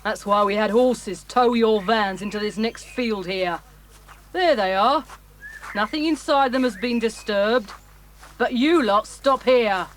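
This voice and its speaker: A woman acts out a blunt, unfriendly fairground performer in a children's audio drama. The delivery is unhurried and fairly high, dismissive of outsiders, over faint noise. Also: natural voice. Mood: hostile, dismissive.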